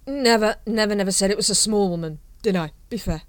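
A woman's voice: mid-range voice, quick pace, no echo, voice pushed up, natural voice. A woman voicing a drunken male night-watch captain who rambles and repeats himself. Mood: drunk rambling.

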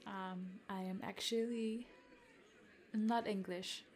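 Slow, gravelly-voiced giggly young woman. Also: mid-range voice, steady tone, a little hiss or hum. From South Africa. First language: Afrikaans. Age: 20s. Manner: giggly, hesitant, soft-spoken with whispered asides. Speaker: content creator addressing a follower. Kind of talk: roleplay chat to the listener.

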